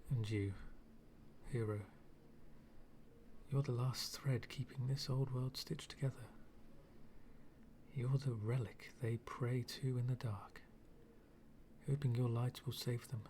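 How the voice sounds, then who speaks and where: Over steady background noise comes a bitter, coldly philosophical villain, acted by a man. He speaks very slowly and broodingly, often sinking toward a whisper, with menace held in long dramatic pauses. The delivery is an intimate ASMR roleplay monologue. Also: mid-range voice. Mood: brooding, resolute.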